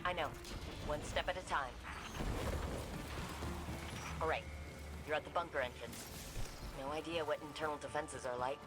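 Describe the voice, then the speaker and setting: An actress playing a brisk, dryly wry tech operator guiding a soldier in a video game. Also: female voice, deep voice, natural voice. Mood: brisk, businesslike. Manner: brisk, dry, casually wry. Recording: loud game music and vehicle noise behind.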